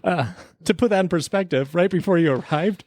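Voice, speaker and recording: A man's voice: high-pitched, brisk, a little mellow, dry recording, clean studio sound. A man hosting a podcast that mixes casual chat with comic radio drama.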